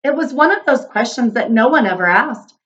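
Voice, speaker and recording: Woman narrator, children's read-aloud, expressive storytelling, fairly high pitch, slightly muffled lo-fi recording. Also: brisk.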